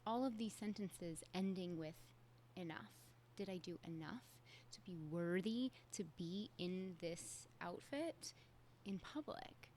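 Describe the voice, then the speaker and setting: A woman's voice: fairly low pitch, slow, a little mellow, slight background noise. A young adult woman, hosting a solo podcast in a candid, conversational way with casual fillers. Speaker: podcast host.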